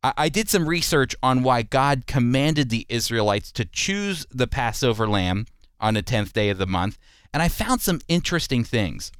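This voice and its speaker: A man's voice: mid-range voice, animated, normal speed, a bit bright, smooth, no room sound, studio quality. A man hosting a radio program, teaching the Bible and commenting in an earnest, emphatic, explanatory way.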